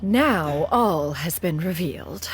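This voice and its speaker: A woman's voice: fairly low pitch, lively intonation, slow. A woman playing a dignified immortal crane adeptus in a game, a stern yet caring mentor speaking in formal, archaic phrasing. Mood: solemn, explaining. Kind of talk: scripted game voice acting. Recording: music behind the voice. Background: game music.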